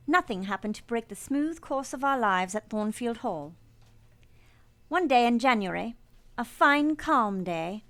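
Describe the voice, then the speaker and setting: An actress performs a young governess with a high voice. She is composed and earnest, with a steadfast streak. Her delivery is formal and measured, in the manner of a storytelling radio play. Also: fairly bright tone. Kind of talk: first-person narration and dialogue in radio play.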